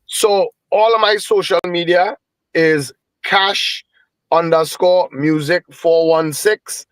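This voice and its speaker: A man from Trinidad with a Trinidadian accent, a guest telling a lively story with Caribbean phrasing in a casual interview. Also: sits high, takes it slowly, clear, resonant tone, no room sound, low-quality recording. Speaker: guest musician.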